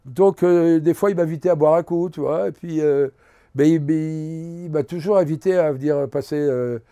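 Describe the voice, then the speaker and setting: An older man, a veteran actor, telling animated anecdotes in a relaxed interview and acting out the lines of the people in his stories. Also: a little high, moderate pace, clear, resonant tone. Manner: animated anecdotes, acts out quotes. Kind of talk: casual storytelling interview.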